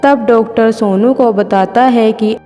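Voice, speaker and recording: A woman's voice: on the high side, unhurried, warm tone. A woman narrating a scripted children's moral story as a storyteller. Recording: long hall reverb, faint music. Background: music.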